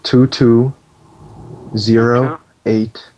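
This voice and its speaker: Man posing as deadpan, flat-toned alarm operator. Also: medium pitch, very slow. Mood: deadpan, official. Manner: deadpan, procedural, insistent. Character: calm, bossy male fire alarm system operator, a prank caller. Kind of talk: prank phone call. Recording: dull lo-fi phone call recording.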